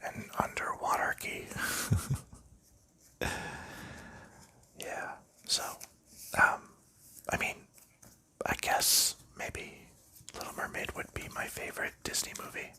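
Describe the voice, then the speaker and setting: A man whispers through an ASMR session, his voice airy and very bright. He drifts from topic to topic in an easy, unhurried ramble, with small fillers as he works the cards. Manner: soft rambling whisper with light laughs and fillers. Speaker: ASMR channel host. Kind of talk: ASMR whispered chat while sleeving cards.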